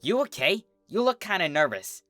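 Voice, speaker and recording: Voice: medium pitch, very animated, moderate pace, bright, forceful tone, no echo, soft background music, pitched above the performer's own voice. A narrator voicing a brash, peppy young female pegasus pony with a casual, slangy way of talking, in a cartoon fan story. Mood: friendly concern. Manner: casual, slangy, hesitant about feelings.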